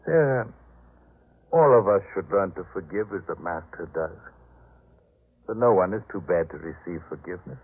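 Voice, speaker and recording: A man's voice: low voice, animated, normal speed, long pauses, slightly rough. A man playing a proud householder, earnest and theatrical, in a 1950s radio drama. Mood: remorseful. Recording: muffled, tinny 1950s radio recording.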